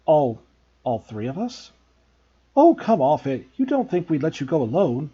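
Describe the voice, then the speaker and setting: A man's voice: fairly high pitch, big pitch swings, normal speed, mellow, slightly rough, lo-fi sound, exaggerated voice. A man voicing a blunt, joking teenage schoolboy who talks in an offhand way.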